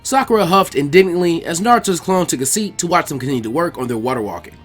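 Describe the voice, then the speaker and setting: A man narrates a story in an expressive audiobook style. His pitch moves around in a lively way, with music playing softly behind him. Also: fast talker, husky. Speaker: narrator, fan fiction reader.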